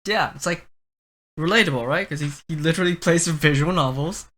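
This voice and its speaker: A man's voice: on the high side, a little fast, fairly bright tone. A man co-hosting a podcast, talking casually and informally with friends. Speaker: podcast co-host. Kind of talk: casual chat about anime.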